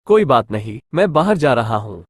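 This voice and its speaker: A man's voice: medium pitch, very animated, normal speed, no room sound, studio quality. A man acting village men in a Hindi cartoon moral story. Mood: concerned. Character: gullible forgetful village priest and scheming greedy merchant.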